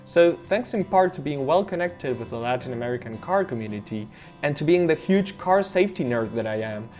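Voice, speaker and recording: A man's voice: mid-range voice, animated, fairly quick, a little mellow, soft background music. Argentinian man narrating a car explainer. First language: Spanish. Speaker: car reviewer.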